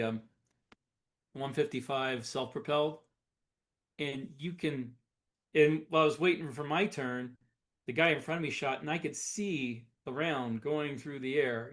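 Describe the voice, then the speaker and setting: A man's voice: medium pitch, a bit bright. A man co-hosting a podcast in casual chat, explaining things informally and often correcting himself as he goes. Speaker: podcast co-host.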